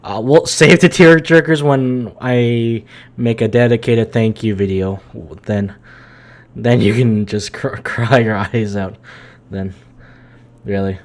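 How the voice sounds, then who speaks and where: A man's voice: medium pitch, fairly even intonation, slow pace. A man live-streaming a game, chatting informally and reacting aloud in a joking way. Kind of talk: casual live-stream commentary. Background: game music.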